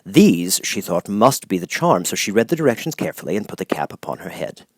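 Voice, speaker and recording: A man's voice: deep voice, big pitch swings, fairly quick, a little mellow, slightly rough, dry recording. A man reading a children's audiobook as a scripted story narrator.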